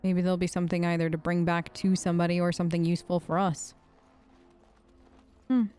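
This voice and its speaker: A woman's voice: lowish voice, flat delivery, a little fast, a little mellow, smooth. A woman hosting a role-play let's play, chatty and playful, talking close to the microphone. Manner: playful, bantering. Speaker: gaming video host.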